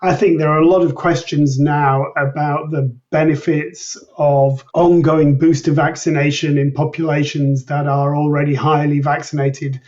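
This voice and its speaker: A man's voice: a little high, level tone, normal speed, hardly any pauses, a little mellow. A man giving careful, precise explanations as an expert guest in an interview. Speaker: interview guest, medical researcher. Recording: distant, dull, lo-fi remote line.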